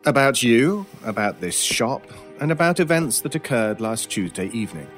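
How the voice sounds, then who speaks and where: A cool British stranger in a radio horror play, needling people with dry, theatrical relish. His mid-pitched voice rises and falls freely in a measured, knowing delivery. Faint music plays behind him. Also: very animated, fairly bright tone. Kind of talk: old time radio style horror audio drama.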